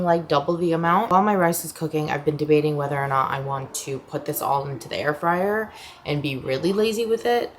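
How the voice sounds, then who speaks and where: Woman, American accent, sleepy voice, low pitch, casual vlog chat, home kitchen, faint background hum. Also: gravelly. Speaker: lifestyle vlogger.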